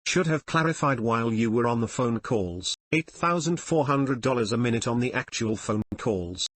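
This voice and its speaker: A man's voice: mid-range voice, lively intonation, moderate pace, fairly bright tone. A man narrating forum stories and comments from a script, in the role of a reader. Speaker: narrator reading online forum posts and comments.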